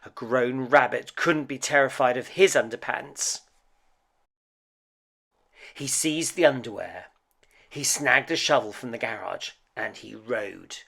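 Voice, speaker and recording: A man narrates a children's storybook at an unhurried pace. His voice is husky and a little creaky, and it rises and falls theatrically as he tells the tale. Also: mid-range voice. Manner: dramatic, expressive, with hushed suspenseful dips. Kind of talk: animated picture book read-aloud.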